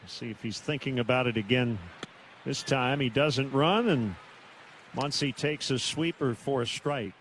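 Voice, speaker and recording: A man doing live baseball play-by-play on the radio in measured, terse calls, with pauses between them. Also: medium pitch, a bit bright, a little hiss or hum, poor audio. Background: outdoor ballpark ambience.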